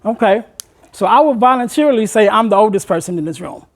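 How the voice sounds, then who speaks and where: A man, a motivational speaker addressing a room of high school students, asking them questions and urging them to take part. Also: expressive, quick pace. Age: 40s. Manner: engaging the audience with questions, conversational and encouraging.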